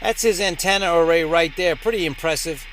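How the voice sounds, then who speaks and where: A man's voice: on the high side, brisk, a bit bright, slight background noise. A man hosting a ham radio channel in an upbeat, emphatic announcer-like way. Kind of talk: upbeat vlog presenting.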